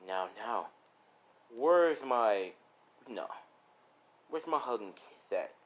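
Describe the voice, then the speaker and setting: A man voicing a vengeful villain husband and gang boss in slow, quiet, sinister taunts, in an amateur story. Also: medium pitch, animated, lo-fi sound. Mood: quiet menace.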